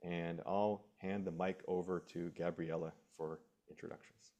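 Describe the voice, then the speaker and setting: A man's voice: deep voice, steady tone, moderate pace, dark tone. An American man, a researcher and lecturer, introducing himself steadily and formally on a panel over a video call. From United States.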